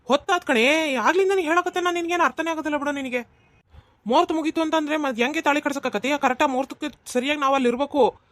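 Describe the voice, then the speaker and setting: Someone doing an impatient, fast-talking village father. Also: high-pitched, a bit bright, no room sound. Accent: North Karnataka Kannada. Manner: impatient, hurrying others. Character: impatient village father of the bride, middle-aged man. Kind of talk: cartoon voice acting.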